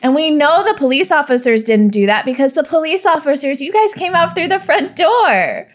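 A woman's voice: sits high, sing-song, moderate pace. A woman voicing a naive, chatty young woman from a goddess commune, rambling and giggly in a tabletop roleplay. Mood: cheerful. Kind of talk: in-character roleplay.

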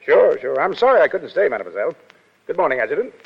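A defiant, cynical legionnaire in a 1930s radio play. His talk is blunt and slangy, a little high and very lively in pitch. The recording is muffled. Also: fast, vocal fry, husky, exaggerated voice. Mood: sardonic. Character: brash, defiant American-style Foreign Legion soldier, cynical. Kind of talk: 1930s radio drama acting. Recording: muffled 1930s radio broadcast, hall reverb.

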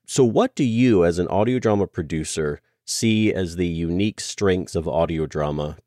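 A man's voice: low-pitched, normal speed, dark tone, dry recording, clean studio sound. A man hosting a casual interview, putting questions to a guest in a conversational way. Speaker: podcast host, interviewer.

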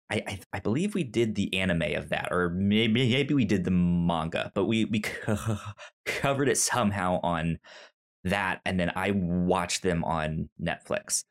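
A man hosting a casual podcast chat about manga, often stammering and stumbling over his words. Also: fairly low pitch. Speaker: podcast host.

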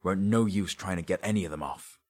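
A man's voice: deep voice, quick pace, voice pushed up. A man voicing a haunted, greedy grave robber in an audiobook story, with a confiding, colloquial way of telling things. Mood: greedy relish.

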